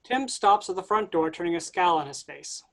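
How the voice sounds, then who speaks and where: A reader speaking stage directions for a play reading in a flat, matter-of-fact way. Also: mid-range voice, normal speed.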